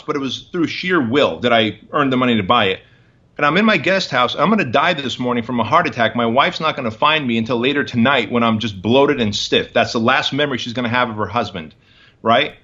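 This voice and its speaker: A man's voice: mid-range voice, expressive, a little fast, bright, forceful tone, muffled recording. A man in his forties, a guest in an interview, telling his story in emphatic, punchy short phrases. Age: 40s.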